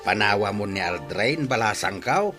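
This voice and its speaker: A man's voice: fairly low pitch, lively intonation, normal speed, over music, lightly acted. An actor in a radio drama playing a kindly older uncle who gives firm, fatherly advice. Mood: concerned, advising. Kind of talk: Ilocano radio drama acting.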